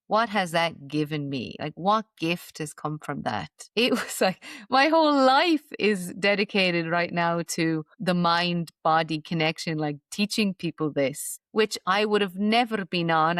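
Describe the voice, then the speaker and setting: A woman's voice: lowish voice, fairly bright tone, dry recording, clean studio sound. A woman guest in a podcast interview, talking earnestly about mind-body healing and often checking in with "right?". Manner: earnest, frequent "right?" tag questions. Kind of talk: reflective interview talk.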